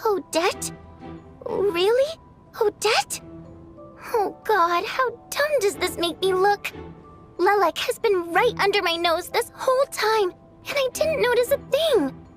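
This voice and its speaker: An actress playing a lively, chatty young woman in an anime-style video game, speaking expressively. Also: extremely high pitch, takes it slowly, faint music behind, exaggerated voice. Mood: astonished. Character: lively young woman, secret member of underground group.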